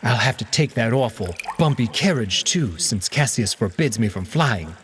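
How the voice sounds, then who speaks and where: A man's voice: medium pitch, lively intonation, normal speed, fairly bright tone, a little hiss or hum, natural voice. A man voicing a haughty, wounded male cat courtier in a visual novel, speaking primly and formally with a guarded, peevish air. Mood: peeved, aggrieved. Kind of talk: visual novel character acting.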